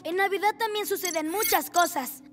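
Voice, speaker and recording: A woman's voice: extremely high pitch, normal speed, music in the background. A woman voicing a cheerful, earnest child superhero in a cartoon dub, explaining things simply and with enthusiasm.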